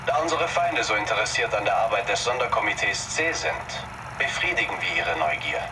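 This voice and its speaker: Deep, menacing German commander, noisy radio. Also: male voice, very animated, a little fast. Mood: cold, menacing. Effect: radio intercept filter. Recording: distant, noisy radio transmission.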